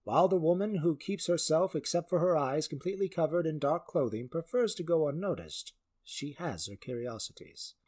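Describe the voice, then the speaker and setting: Husky, higher-pitched male storyteller. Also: expressive, normal speed, a little mellow. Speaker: narrator. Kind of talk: scripted fantasy story narration.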